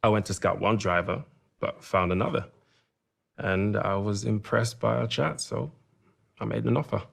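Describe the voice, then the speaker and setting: A man playing a reflective, passionate racing team boss who speaks in a measured, philosophical way in an interview. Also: lowish voice, creaky. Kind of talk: documentary-style interview acting.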